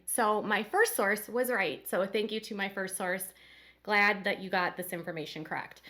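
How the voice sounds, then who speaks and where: A woman's voice: medium pitch, sharp tone. A woman hosting a casual commentary channel who talks straight to her viewers in a rambling way, her nose congested from a cold.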